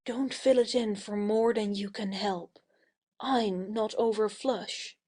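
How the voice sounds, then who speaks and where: An actress playing an imperious but warm-hearted young lady of the house, bossy and emphatic, in a satirical audio play. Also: medium pitch, fairly even intonation, takes it slowly, slightly rough. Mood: urgent pleading.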